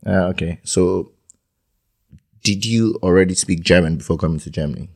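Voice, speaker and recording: Deep-voiced man hosting a podcast. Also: moderate pace. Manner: hesitant, repeats words when starting a question. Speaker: podcast host. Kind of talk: casual interview podcast.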